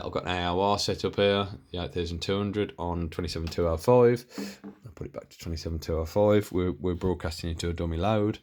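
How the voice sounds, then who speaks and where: A man's voice: deep voice, a little mellow. A British man giving a casual, unscripted demo of his radio gear in a rambling, hesitant way, often interrupting himself. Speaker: hobbyist showing and reviewing his radio gear. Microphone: handheld camera.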